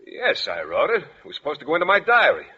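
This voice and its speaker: A man's voice: a little high, wildly swooping pitch, fast talker, slightly rough, heavily acted. A male actor playing a shy, naive, earnest biology teacher with a hesitant, oblivious manner in an old radio sitcom. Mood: earnest, puzzled. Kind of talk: 1950s radio sitcom acting. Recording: dull old radio recording.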